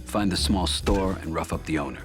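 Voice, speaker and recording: An actor playing a calculating mafia don in a video game, giving counsel in a measured way. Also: male voice, deep voice, over music, close to an everyday voice. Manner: measured, advising. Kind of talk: video game crime drama acting.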